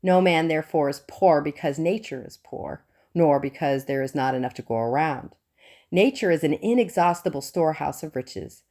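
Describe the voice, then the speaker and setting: Low, mellow woman reading an audiobook. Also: animated, moderate pace. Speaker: audiobook reader. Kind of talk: scripted audiobook narration.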